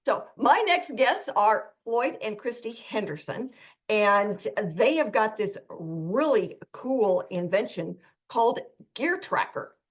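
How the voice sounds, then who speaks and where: A woman's voice: on the high side, lively intonation, takes it slowly, lo-fi sound. A woman hosting a radio talk show, chatty and anecdotal, acting out quoted lines as she talks.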